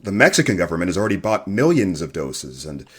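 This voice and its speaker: Husky, hesitant male journalist played by an actor. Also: sits low, big pitch swings, fast talker, full character voice. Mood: nervous, probing. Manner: hesitant, apologetic, stammering. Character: nervous, deferential male radio host and journalist. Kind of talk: full-cast audio drama acting.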